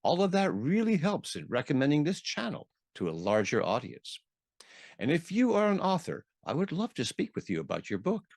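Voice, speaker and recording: Lively-pitched man hosting interviews. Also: normal speed, gravelly, no room sound, clean studio sound. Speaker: interview show host. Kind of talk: conversational interviewing and presenting.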